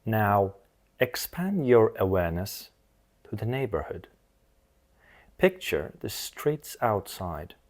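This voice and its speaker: A man leading a scripted guided meditation in a soothing, measured manner, speaking in short phrases with long pauses. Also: on the low side, very animated, darkish tone.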